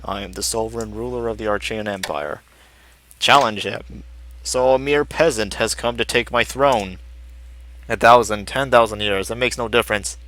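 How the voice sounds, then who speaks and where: A man's voice: on the low side, moderate pace, a bit bright, close to an everyday voice, low-quality recording. A man voicing an ancient, withered undead emperor from a fantasy game, pompous and commanding in archaic speech. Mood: imperious, defiant. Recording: music behind the voice.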